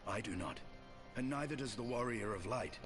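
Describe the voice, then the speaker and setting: An actor playing a stern, proud dragoon knight in a fantasy video game, speaking gravely in formal, archaic English. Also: male voice, medium pitch, animated, moderate pace, over music, lightly acted. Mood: stern, forceful.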